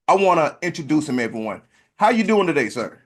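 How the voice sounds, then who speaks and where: A man's voice: fairly high pitch, very animated, quick pace. Upbeat male podcast host. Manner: upbeat, emphatic introductions with some hesitations. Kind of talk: interview podcast.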